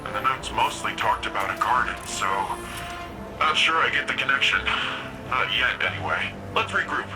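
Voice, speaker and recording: An actor playing a brash, restless young warrior in a video game, talking in an upbeat, cocky, joking way over loud game music. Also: male voice, medium pitch, lively intonation, lightly acted, low-quality recording. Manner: upbeat, cocky, quick to joke.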